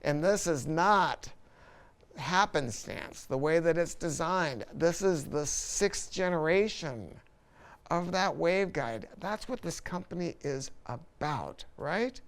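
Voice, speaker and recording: Clear-voiced man giving a casual product review. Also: fairly high pitch, lively intonation, slow pace. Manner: enthusiastic, informal, opinionated. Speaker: audio dealer presenting a product review.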